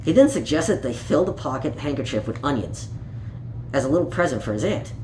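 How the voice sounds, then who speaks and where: Someone reading a kids story, super animated n playful, echoey room w a bit of noise. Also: medium pitch, a little fast. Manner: playful, showing the pictures, stumbles over words while reading.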